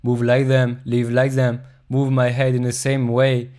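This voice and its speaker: Man, reflective voice-over, calm short phrases, monotone delivery, mid pitch. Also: unhurried. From Europe. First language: French. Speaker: travel vlogger. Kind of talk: reflective scripted voice-over narration.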